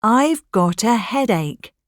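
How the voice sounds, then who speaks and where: Slow, careful woman on coursebook audio. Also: on the high side, animated, dry recording, clean studio sound. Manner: slow, careful model sentences for learners.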